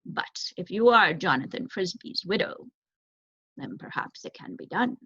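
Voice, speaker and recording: A woman voicing a grave, formal male owl in a storybook, speaking in measured pronouncements. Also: on the low side, expressive, pushed and bright, slightly rough, dry recording, heavily acted. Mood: intent, deferential. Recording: dull, lo-fi close recording.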